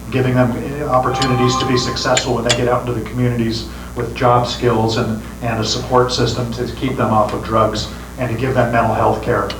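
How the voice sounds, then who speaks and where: Brief: man, sheriff pitching re-election to a crowd, earnest, restarts phrases, heard from across an echoey room with background noise. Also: recorded from a distance. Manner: earnest, repeats words when starting phrases. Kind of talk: campaign speech to a meeting. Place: meeting room with an audience.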